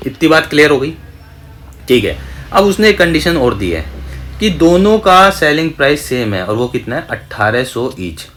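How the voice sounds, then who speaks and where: A man's voice: fairly high pitch, sing-song, moderate pace, fairly bright tone. A man teaches a maths lesson step by step, often asking questions and answering them himself, and mixing in English terms. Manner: mixes English terms into speech. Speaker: maths teacher for competitive exam coaching.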